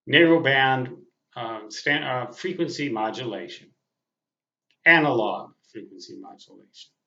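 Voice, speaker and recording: Slow-talking man in his sixties, roomy sound. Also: mid-range voice, creaky, bright, forceful tone. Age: 60s. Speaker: veteran amateur radio operator. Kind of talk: casual reflective monologue. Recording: muffled audio in a roomy space.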